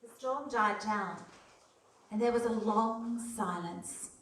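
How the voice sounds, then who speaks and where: A woman's voice: on the high side, slow pace, fairly bright tone. A woman narrating a radio play as live storytelling. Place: hall, live performance. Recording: very echoey hall, distant, faint noise.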